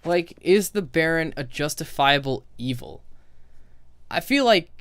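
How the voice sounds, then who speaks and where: A man's voice: a little high, lively intonation, measured pace, fairly bright tone, clear, resonant tone. A man giving casual, sarcastic, nitpicking commentary over video game footage. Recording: game music behind commentary.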